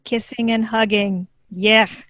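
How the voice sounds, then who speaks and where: A woman's voice: fairly high pitch, fairly even intonation, takes it slowly, noisy background. A woman playing an obedient, emotionless child android in a sitcom table read, with a stilted, literal, mechanical delivery. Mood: flat, obedient.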